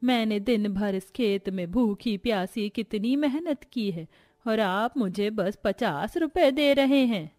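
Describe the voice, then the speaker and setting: A woman's voice: medium pitch, lively intonation, takes it slowly, darkish tone, no echo, clean studio sound. A woman voicing a humble, weary old grandmother in her seventies, plaintive and folksy, in a cartoon story. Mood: pleading, weary. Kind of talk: Hindi cartoon story voice acting.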